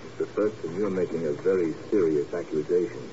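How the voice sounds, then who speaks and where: Stern, dark-voiced colonel played by a man. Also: low-pitched, brisk, lightly acted. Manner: formal, blunt. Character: stern, sceptical male military colonel. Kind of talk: 1950s radio drama acting. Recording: muffled, hissy old radio recording.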